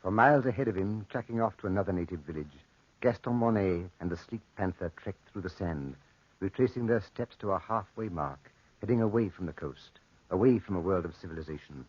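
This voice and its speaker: A man narrating a dark adventure tale as a solemn storyteller, dramatic and portentous, in 1940s radio drama style. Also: low voice, brisk, husky, dry recording. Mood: solemn, suspenseful. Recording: muffled 1940s radio recording.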